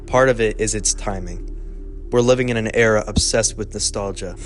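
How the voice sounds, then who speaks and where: A man narrating a scripted video essay in a measured, even way. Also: fairly low pitch, faint music behind.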